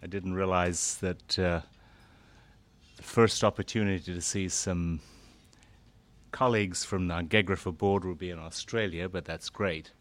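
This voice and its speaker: A middle-aged man and former foreign correspondent speaking to a gathering, halting with fillers at first, then growing more animated. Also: low voice. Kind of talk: speech to an audience.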